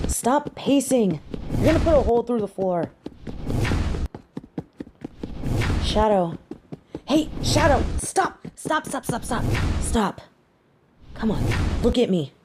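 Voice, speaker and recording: A woman's voice: mid-range voice, background noise, lightly acted. A woman acting a caring, brash and loyal young superheroine in a scripted audio roleplay, with a conversational, sassy delivery. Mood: urgent, soothing. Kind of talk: scripted one-sided audio roleplay drama.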